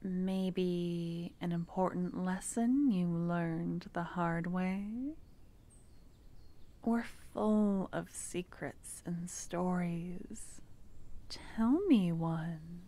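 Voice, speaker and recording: A woman playing a young female court necromancer who is tenderly affectionate and playful with her lover, speaking softly and often in a whisper for an ASMR roleplay. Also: fairly low pitch, fairly even intonation, very slow. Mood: tender, teasing. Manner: soft, intimate, often whispered.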